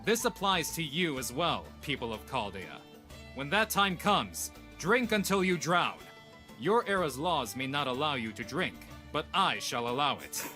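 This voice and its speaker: A man's voice: a little high, big pitch swings, unhurried, sharp tone, faint music behind, heavily acted. A man playing a proud, imperious young king in a comic dub, with a pompous, lofty manner. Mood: commanding, proud. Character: proud, boastful young king of ancient times, imperious but amused. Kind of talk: scripted comic dub acting.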